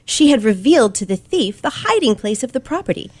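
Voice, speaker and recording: A woman playing a confident, sharp teenage girl detective in an old-fashioned radio play, with precise, theatrical delivery. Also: fairly high pitch, sing-song, fairly quick, dry recording. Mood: assured, dramatic. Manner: theatrical, precise, dramatic emphasis.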